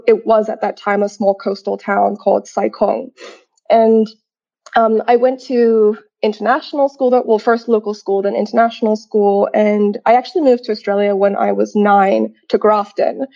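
A woman's voice: mid-range voice, steady tone, normal speed, vocal fry, dark tone. A young woman from Hong Kong, a guest entrepreneur talking casually in an interview, with lots of fillers and restarts. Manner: chatty, many fillers and restarts. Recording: slightly dull lo-fi sound.

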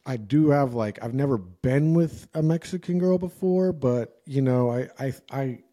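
An American man with a very mellow, soft-edged tone talks casually. His pitch sits in an ordinary middle range. He rambles through personal stories in informal, slangy phrases, as in a relaxed chat. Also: moderate pace. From United States.

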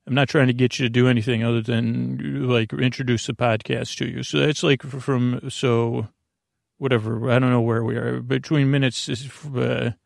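A man with a creaky voice hosting a bedtime podcast, rambling haltingly through tangents in a soothing, lulling way. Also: medium pitch, flat delivery, dry recording, clean studio sound. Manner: meandering, halting, full of tangents. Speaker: podcast host.

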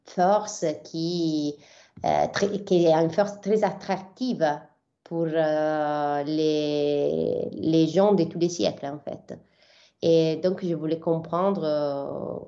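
A woman's voice: low-pitched, dark tone, highs cut off. A young Italian woman from southern Italy, a biblical scholar answering interview questions in French with many fillers and restarts.

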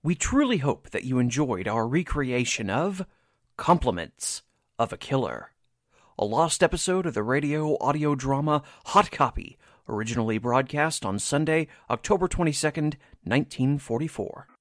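A man's voice: medium pitch, lively intonation, normal speed, dry recording, studio quality. A man announcing scripted radio drama credits in a formal, carefully enunciated way.

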